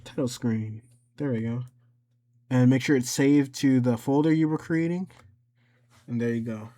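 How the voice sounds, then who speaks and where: A man giving an informal step-by-step software tutorial, thinking aloud and somewhat hesitant as he goes. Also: mid-range voice, normal speed. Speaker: tutorial host.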